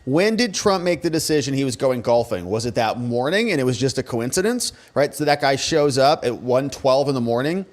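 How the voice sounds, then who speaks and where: A man's voice: medium pitch, expressive, brisk, few pauses. A man giving casual, sarcastic commentary as a show host, speaking in a colloquial way. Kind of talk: casual commentary reacting to press conference clips.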